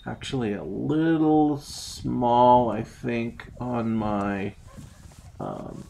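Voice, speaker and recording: A man with a gravelly, heavily fried voice of middle pitch talks through his day on the water in a loose, rambling way. He is outdoors on a small boat, picked up by a mounted action camera. Faint wind and outdoor hiss sit behind him. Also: very slow. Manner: relaxed, rambling, explains step by step with many ums. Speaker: fishing vlogger. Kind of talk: casual narrated fishing recap.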